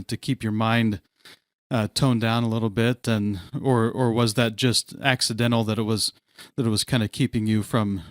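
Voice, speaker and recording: A man's voice: lowish voice, no room sound, studio quality. A man hosting a podcast interview, asking long, searching questions with plenty of fillers and restarts. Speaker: podcast host. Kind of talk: casual interview.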